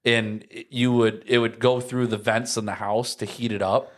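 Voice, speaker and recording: A man's voice: mid-range voice, level tone, normal speed. A young American man chatting casually and telling childhood stories in slangy language, acting out moments as he goes. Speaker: podcast host.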